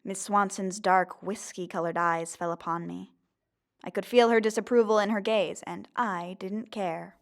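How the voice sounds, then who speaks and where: A woman's voice: mid-range voice, steady tone, moderate pace, natural voice. A woman playing a determined young zombie girl in an audio drama, earnest and theatrical, both in dialogue and first-person narration. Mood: earnest narrating. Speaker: lead character and narrator.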